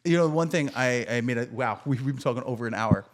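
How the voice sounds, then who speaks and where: A man's voice: mid-range voice, fast. A man hosting a podcast in casual conversation, with an enthusiastic, slangy and affirming way of talking. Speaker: podcast host.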